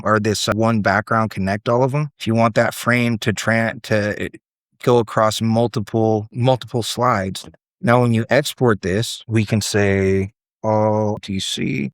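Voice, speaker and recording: Low-voiced man, casual software walkthrough. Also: dry recording, studio quality. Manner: informal, step-by-step explaining. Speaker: presenter demonstrating self-built apps. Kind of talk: casual screen-share tutorial.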